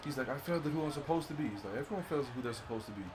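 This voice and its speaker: A man's voice: mid-range voice, expressive, very fast, background noise. A man in a casual, slangy movie discussion, informally quoting lines as a co-commentator. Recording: basketball game crowd and bouncing behind. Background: basketball video game crowd and ball bounces.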